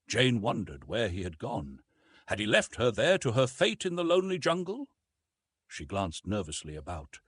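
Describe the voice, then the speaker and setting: A man narrating a literary story from a script in an expressive, dramatic storytelling manner. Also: medium pitch, breathy edge, no room sound. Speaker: audiobook narrator.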